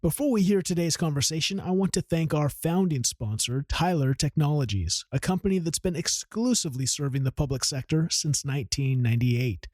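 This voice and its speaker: A man with a fairly high speaking voice hosts a podcast, moving from a polished, earnest scripted opening into easy interview talk. The sound is dry and close, with no room around it. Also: a little mellow, dry recording. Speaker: podcast host. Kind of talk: scripted intro then conversational interview.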